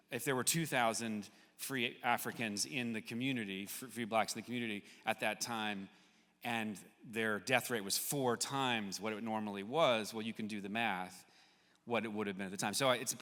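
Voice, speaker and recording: A man with a bright, pressing voice facilitates a discussion. He speaks deliberately and with emphasis, and his words ring with the long reverb of a hall. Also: mid-range voice. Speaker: discussion moderator.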